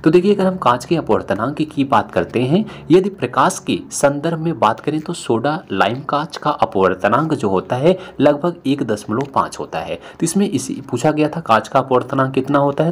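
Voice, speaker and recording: A man's voice: medium pitch, expressive, moderate pace, hardly any pauses, slightly rough. A man explains exam questions one by one as a teacher, repeating questions and answers for emphasis. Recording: noticeable background noise.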